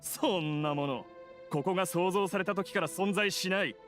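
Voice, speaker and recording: Casting: actor as self-righteous male villain, anime game, raised pitch, rapid insistent delivery, faint music. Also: lightly acted. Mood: defiant.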